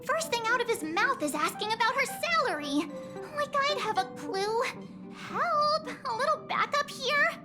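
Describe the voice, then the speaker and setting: A bubbly, teasing young crew member with a very high, bright voice. She sounds perky and quick to joke. Game music runs behind her. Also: expressive. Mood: wry.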